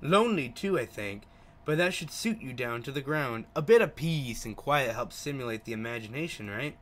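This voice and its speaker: Man reading as a chatty young taxi driver, bright-voiced. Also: mid-range voice, lively intonation, moderate pace, lightly acted. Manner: effusive, chatty. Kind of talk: visual novel dialogue read aloud.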